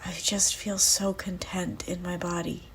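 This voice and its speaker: A woman leading a guided visualization in a soft, breathy, soothing voice, leaving long pauses between phrases. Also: fairly low pitch, level tone, a little hiss or hum, low-quality recording. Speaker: guided meditation host. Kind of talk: guided visualization meditation, first-person.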